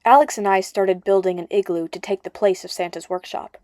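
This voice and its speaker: A woman's voice: medium pitch, fairly even intonation, fairly quick, warm tone, dry recording, clean studio sound. A woman narrating a first-person story as an amateur audiobook reader.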